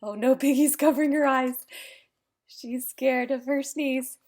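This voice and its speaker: A woman's voice: sits high, fairly even intonation, measured pace, very noisy. A woman reading a picture book aloud to children, adding sympathetic asides about the characters. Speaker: read-aloud storyteller.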